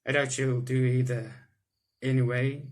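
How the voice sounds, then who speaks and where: A man's voice: medium pitch, little pitch movement, unhurried. Young guy playing a visual novel, reads the lines out then rambles about them, laughs a bit, ordinary voice. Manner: chatty, self-correcting, breaks into laughs and reactions. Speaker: gaming video host. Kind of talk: let's-play reading game text aloud with comments.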